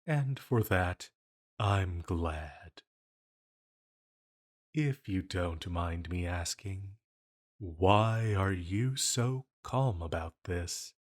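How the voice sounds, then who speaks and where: A man's voice: low-pitched, expressive, full character voice. A man playing an ancient banished villain, elegant and menacing yet fond, in a scripted intimate audio roleplay with formal, theatrical phrasing. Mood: curious, teasing. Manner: slow, deliberate, theatrical, formal phrasing with pauses.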